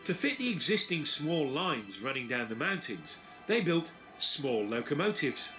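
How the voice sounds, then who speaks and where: A man narrates a documentary in a measured, storytelling way. His voice sits a little high and moves through a wide, lively range of pitch. Music plays clearly beneath him, as in a played video. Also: poor audio.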